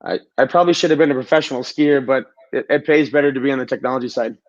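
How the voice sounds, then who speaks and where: A man with a raspy voice from a recent cold, talking casually as a guest and often repeating words as he starts sentences. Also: medium pitch, very fast, dull audio. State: recovering from a cold. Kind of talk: casual interview talk.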